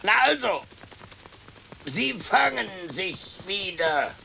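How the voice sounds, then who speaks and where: Sly crow, piercing sharp tone, swooping pitch, mocking, mannered radio drama, slightly noisy recording. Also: female voice, very animated, takes it slowly, vocal fry, exaggerated voice. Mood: falsely obliging. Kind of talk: stylized German radio play acting.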